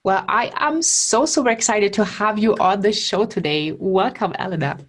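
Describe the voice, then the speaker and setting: A woman's voice: mid-range voice, moderate pace, a bit bright. A German woman with a German accent hosting a podcast interview, enthusiastic, laughing often and repeating words as she starts sentences. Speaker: podcast host. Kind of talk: podcast interview and sponsor read.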